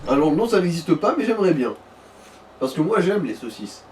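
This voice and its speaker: A man's voice: fairly high pitch, big pitch swings, moderate pace, a little mellow, recorded from a distance, a little hiss or hum. A man bantering casually as a gameplay co-commentator, joking with absurd exaggeration. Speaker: let's play co-commentator.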